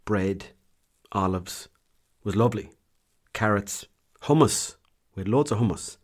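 A man's voice: on the low side, unhurried. An Irish man telling a rambling, self-mocking holiday story in casual vlog style, with slang and the odd swear. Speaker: vlogger.

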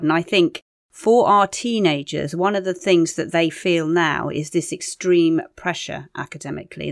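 Low-voiced woman, dry studio sound. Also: vocal fry, no echo. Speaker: podcast co-host, parent of teenagers. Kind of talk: conversational interviewing.

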